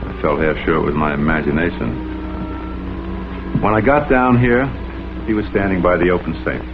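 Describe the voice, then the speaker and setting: An actor playing a composed, guarded lawyer in a vintage crime drama, speaking with measured courtesy. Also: male voice, low-pitched. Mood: grave recounting. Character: composed, guarded male lawyer giving his account. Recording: dull old soundtrack under prominent music.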